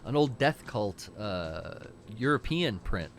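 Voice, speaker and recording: Stream co-host, man, loose and swearing, with low music under the talk. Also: big pitch swings, takes it slowly. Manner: loose, profane, rambling. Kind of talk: casual stream chat while gaming.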